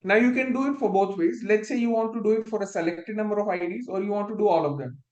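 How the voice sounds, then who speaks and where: A man's voice: high voice, quick pace, darkish tone, roomy sound. A man instructor leading a live online spreadsheet lesson, explaining step by step and often restarting his phrases.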